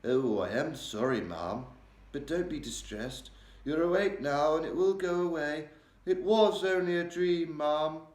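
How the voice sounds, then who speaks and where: A man's voice: a little high, unhurried, a little mellow, voice pushed up, natural voice. A man voicing an oldish, deferential maid who speaks politely and with a quivering voice. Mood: soothing, polite. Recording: roomy echo.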